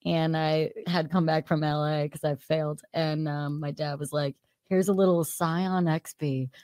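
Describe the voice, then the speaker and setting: A woman's voice: low voice, steady tone, measured pace, a little mellow, dry recording, studio quality. A woman in her thirties hosting a podcast, chatty and self-deprecating, swearing freely. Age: 30s. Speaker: podcast host. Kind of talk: casual chat.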